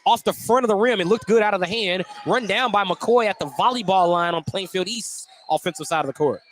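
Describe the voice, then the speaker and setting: High-pitched male basketball play-by-play announcer. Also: fairly quick, a little hiss or hum. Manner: excitable on big plays. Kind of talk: live basketball radio commentary. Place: basketball gym at a tournament.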